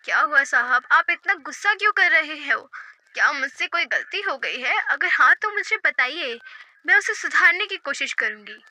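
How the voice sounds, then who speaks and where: A woman's voice: sits high, sing-song, normal speed, sharp tone, full character voice. A woman playing a humble, devout poor mother in a moral story, meek, respectful and pleading. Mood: anxious, meek. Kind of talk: dramatized moral story dialogue.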